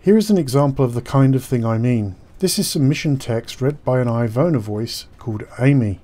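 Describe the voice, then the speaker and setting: A man's voice: mid-range voice, lively intonation, brisk, dark tone. A man giving scripted game news commentary as a gaming commentator, articulate and formal in his wording.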